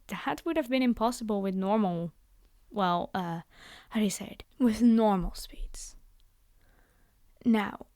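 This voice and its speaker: Vampire captor female performer, high-pitched, bossy yet coaxing and condescending, scripted ASMR roleplay addressed to the listener. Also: a little mellow, natural voice. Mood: reassuring, teasing. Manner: bargaining, condescending, reassuring, speaking directly to the listener. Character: commanding vampire girl, teasing, coaxing captor of a human pet.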